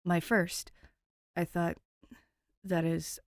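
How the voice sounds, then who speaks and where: A woman voicing a guarded, quick-tempered boy with a terse, halting delivery in a fan fiction reading. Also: on the low side, flat delivery, dry recording, studio quality. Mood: shaken.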